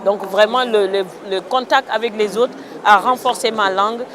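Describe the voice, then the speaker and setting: A woman's voice: mid-range voice, steady tone, normal speed. A woman from Senegal, an author answering interview questions outdoors in a thoughtful, hesitant way with many fillers. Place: outdoors in a town. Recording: crowd noise outdoors. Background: crowd chatter.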